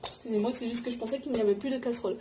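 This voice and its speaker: A woman's voice: fairly high pitch, fairly even intonation, very fast. A woman chatting informally in a slangy, scattered way for a home cooking vlog, often interrupting herself. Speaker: vlogger. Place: home kitchen in an apartment. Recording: noisy home recording, clattering pots and dishes.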